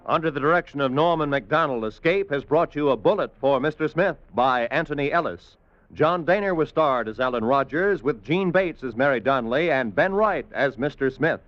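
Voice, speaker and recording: A man's voice: on the high side, animated, fairly quick, no echo, muffled recording. A man announcing an old-time radio drama in a formal, dramatic, scripted style.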